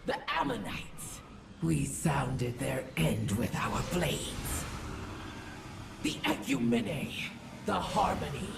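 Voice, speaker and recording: An imperious alien war goddess declaims slowly and grandly, as a game villain. Her deeply gravelled voice rings with echo from far off, over music. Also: big pitch swings, background noise, full character voice. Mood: gloating. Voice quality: gravelly, echoing. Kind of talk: dramatic video game villain monologue. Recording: echoing, distant, music underneath.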